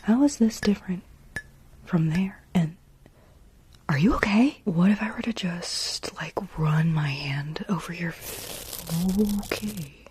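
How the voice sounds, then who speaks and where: Brief: woman, low whispery voice, unhurried ASMR delivery, faint wind in the background. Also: animated. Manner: soft slow whisper, soothing and repetitive. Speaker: ASMR creator.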